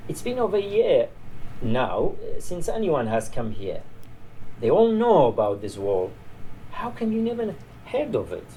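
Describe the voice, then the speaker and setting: Man playing old male border guard, weary, chatty, discouraging, mellow tone, swinging pitch, noisy live storybook reading. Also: huge pitch swings, a little slow, full character voice. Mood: incredulous, discouraging. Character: tired old male border guard, dismissive, grimly amused.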